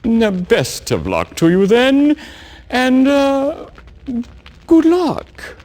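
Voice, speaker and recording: An actor playing a complacent village chief in a video game, pompous and breezily dismissive. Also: male voice, very high-pitched, wildly swooping pitch, drawn-out words, darkish tone, heavily acted. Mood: breezy. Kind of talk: video game cutscene acting.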